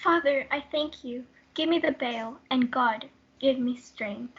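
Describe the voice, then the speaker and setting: A desperate, defiant girl in love, acted by a young woman in a stage-play reading. Her voice is high and bright. The recording is slightly muffled. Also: unhurried, a little hiss or hum. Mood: resolved.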